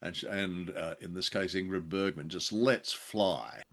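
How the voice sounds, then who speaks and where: A man's voice: sits low, a little slow, fairly bright tone. A man co-hosting a radio show, commenting on old drama with wry, theatrical phrasing.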